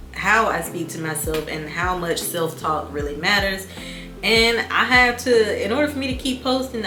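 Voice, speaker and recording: Young woman vlogger, calm and relaxed delivery, low voice, echoey room over background music. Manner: calm, soft-spoken, reflective. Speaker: YouTuber. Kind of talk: casual reflective vlog.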